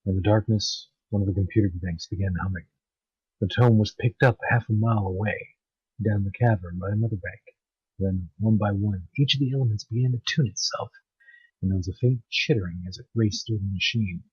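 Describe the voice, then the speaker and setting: A man giving an amateur dramatic story narration, halting in places and stumbling over words. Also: on the low side, husky, dry recording, dull audio. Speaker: narrator of online story readings.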